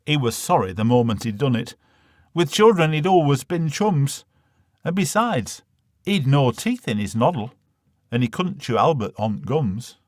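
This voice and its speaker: Animated Northern English man reciting comic verse. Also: mid-range voice, unhurried, no echo, studio quality. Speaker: poetry reader. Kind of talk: dramatic recital of a comic dialect monologue.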